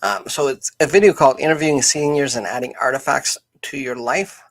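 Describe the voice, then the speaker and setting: Husky, creaky-voiced man, halting delivery. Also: medium pitch, a bit bright. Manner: halting, hesitant, searching for words. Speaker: live stream host. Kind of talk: unscripted live stream chat.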